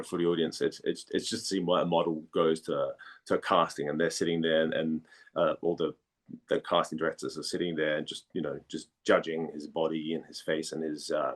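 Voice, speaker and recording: A low-voiced man from Denmark chats as an interview guest. He talks casually and haltingly, doubling back on words while he works out what he means. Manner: halting, repeats words as he thinks. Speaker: guest, actor and former model.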